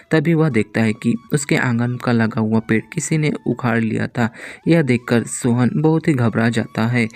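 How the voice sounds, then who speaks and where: Male children's story narrator, mid-pitched. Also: darkish tone.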